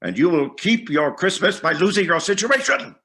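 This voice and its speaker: A bitter old miser from a Victorian storybook, acted out by a male reader. He is scornful and grumpy, leaning hard on his words. His pitch swings widely as he scolds. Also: lively intonation, moderate pace, pushed and bright. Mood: irritable.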